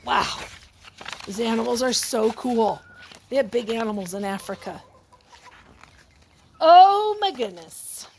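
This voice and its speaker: A woman reading a picture book aloud to young children, expressive and exclamatory, often breaking off to ask her listeners questions and add asides. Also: on the high side, takes it slowly, creaky, pauses often, a little hiss or hum.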